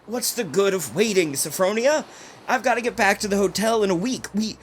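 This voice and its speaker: A man's voice: high voice, a little fast, a little hiss or hum, lightly acted. A man playing a domineering, secretive bridegroom in old-fashioned radio melodrama, with a terse, insistent delivery. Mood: eager, coaxing. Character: domineering, secretive bridegroom from Maine, curtly menacing.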